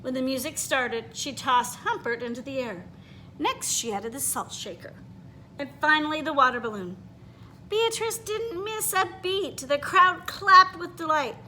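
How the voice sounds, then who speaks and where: A woman reading a children's picture book aloud in an expressive, animated way, pausing to put questions to her listeners. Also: high voice, slight background noise, rough recording. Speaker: read-aloud storyteller.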